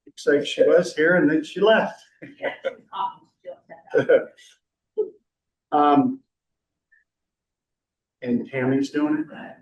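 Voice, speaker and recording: A man's voice: on the high side, drawn-out words, long pauses, muffled recording. A man chairing a council meeting, chatty and rambling, with light joking. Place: public meeting room. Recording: echoey room from a distant mic.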